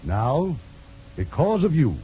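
A man hosting a radio theatre, giving a formal, deliberate scripted introduction in 1950s style. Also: on the high side, big pitch swings, warm tone, breathy edge. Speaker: radio theatre host and producer. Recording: muffled 1950s radio broadcast.

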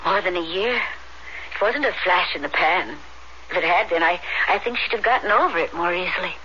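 Worried middle-aged mother, very bright forceful voice, earnest, theatrical, radio drama, dull recording. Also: lowish voice, moderate pace, a little hiss or hum. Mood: troubled, resigned. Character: anxious, fretful middle-aged mother, frank and resigned. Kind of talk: old-fashioned radio drama acting.

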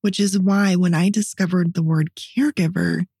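Husky, raspy-voiced woman podcaster. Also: fairly low pitch, steady tone, moderate pace, mellow, no room sound, studio quality. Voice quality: raspy voice from partly paralyzed vocal cords. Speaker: podcast co-host. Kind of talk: personal storytelling in casual podcast chat.

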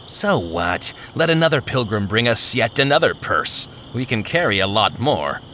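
A man's voice: on the low side, sing-song, moderate pace, sharp tone, a little hiss or hum. A man voicing an impatient, brutish male robber who scoffs and brushes off worries. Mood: scoffing. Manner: scoffing, dismissive.

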